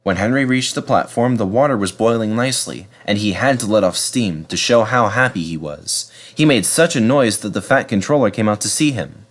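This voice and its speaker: A man narrating a children's storybook in a measured, dramatised storytelling manner. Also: fairly low pitch, animated. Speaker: storybook reader.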